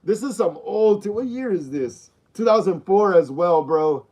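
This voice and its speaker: Chatty high-voiced man in his thirties. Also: lively intonation, measured pace, a little mellow. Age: 30s. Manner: chatty and repetitive, uses slang like bro and dog. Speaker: livestream host. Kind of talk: casual live-stream chat reading viewer comments.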